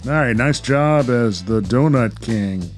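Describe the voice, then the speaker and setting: A man's voice: medium pitch, animated, slow pace, soft background music. A man hosting a podcast, chatting casually in a jokey, self-aware and rambling way. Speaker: podcast host.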